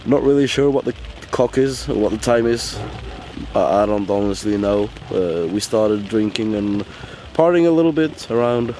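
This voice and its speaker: A tired young man with a hoarse, worn-out voice, chatting casually to the camera in a rambling, halting way. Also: on the low side, a little mellow, a little hiss or hum, poor audio. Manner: rambling, halting with many fillers. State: hoarse, lost voice. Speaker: vlogger. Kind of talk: casual vlog chat. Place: in a car.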